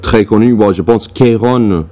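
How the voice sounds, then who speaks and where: Deep-voiced host, nudging with short agreements. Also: male voice, measured pace, warm tone. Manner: encouraging, frequent short agreements. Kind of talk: casual interview.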